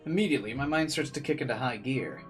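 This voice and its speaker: A man's voice: medium pitch, fast. Male let's player reading aloud. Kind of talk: visual novel read-aloud with casual commentary. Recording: faint game music behind.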